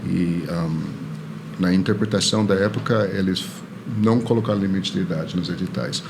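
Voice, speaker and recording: Middle-aged male guest, Canadian accent, non-native speaker, lowish voice, halting and jovial, noticeable background noise. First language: non-native Portuguese speaker. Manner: halting, jovial, laughs easily. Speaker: podcast guest, orchestral violist. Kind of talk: casual chat. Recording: clearly audible background noise.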